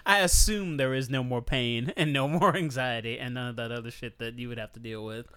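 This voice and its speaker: A man's voice: medium pitch, fairly quick, bright, forceful tone, clear, resonant tone. A man co-hosting a casual podcast chat, blunt and joking, often laughing. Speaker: podcast host.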